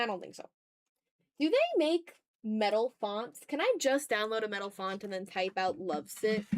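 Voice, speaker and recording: Woman art streamer chatting casually while working. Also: on the high side. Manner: muttering to self, talking through each step. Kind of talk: casual livestream chat while drawing.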